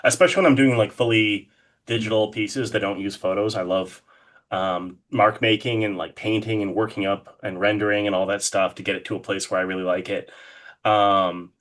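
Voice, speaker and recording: A man's voice: fairly low pitch, fairly even intonation, normal speed, a bit bright. A man speaking as an interview guest in a casual, rambling, informal way with lots of hedging. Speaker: interview guest, horror artist.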